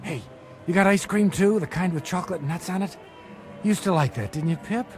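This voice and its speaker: A man's voice: sits high, a little fast, a little mellow, soft background music, close to an everyday voice. An actor playing a desperate, remorseful middle-aged father and small-time hustler, urgent and emotional, in radio-drama style. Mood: playful, covering up. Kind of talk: dramatic radio-play acting.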